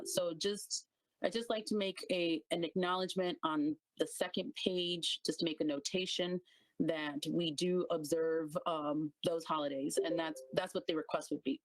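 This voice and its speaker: A woman's voice: on the low side, dry recording, rough recording. A woman board member making formal remarks at a meeting, with tentative, hesitant phrasing.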